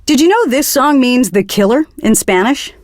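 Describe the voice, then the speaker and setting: A woman's voice: sits high, lively intonation, normal speed, dry recording, studio quality. An actress playing a bookish, pretentious young female alternative radio DJ in a video game, delivering earnest literary patter.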